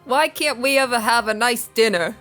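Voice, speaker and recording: A woman's voice: a little high, normal speed, a bit bright, soft background music, lightly acted. A woman playing an indignant, scolding mother in a comic screenplay reading, reproachful in manner.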